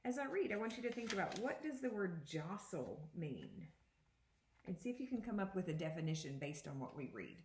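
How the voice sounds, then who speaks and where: Female, primary school teacher, children's read-aloud, animated intonation, deliberate phrasing, questions to listeners, echoey room. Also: lowish voice, slight background noise. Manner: clear deliberate storybook reading, pausing to question listeners. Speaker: second grade teacher.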